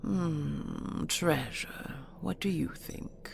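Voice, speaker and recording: A woman's voice: sits low, extremely slow, vocal fry, whispered, full character voice. A woman playing an imperious ancient dragon queen in an audio roleplay, possessive and menacing, with a regal, coolly mocking, commanding manner. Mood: measured, probing.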